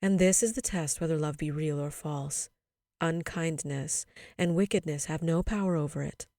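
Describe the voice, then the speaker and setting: Lady reader doing a loving sad mum from a kids storybook, gentle n earnest, warm dark tone. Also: medium pitch, animated, moderate pace, no echo, clean studio sound, close to an everyday voice. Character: tender, sorrowful young mother of small children.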